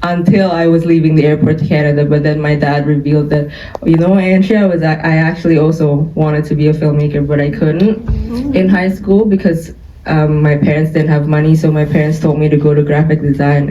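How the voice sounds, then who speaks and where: A young woman tells her story in a chatty way, laughing often between thoughts. Her voice is mellow and dark-toned. She sounds set back from the mic in a roomy studio, with a dull, muffled audio quality. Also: low-pitched, fairly even intonation, moderate pace, far from the mic, slight background noise. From Indonesia. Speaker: filmmaker guest. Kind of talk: interview storytelling.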